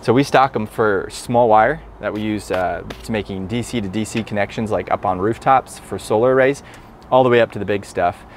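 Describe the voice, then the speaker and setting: A man's voice: lowish voice, a little fast, a little hiss or hum. A talkative man with a nasal voice, an electrician explaining his work on the job in a casual, upbeat way. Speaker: electrician and instructor.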